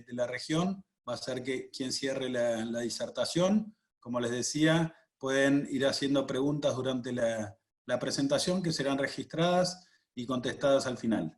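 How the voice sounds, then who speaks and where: Man, webinar moderator, formal introductions, frequent fillers, repeated words, medium pitch.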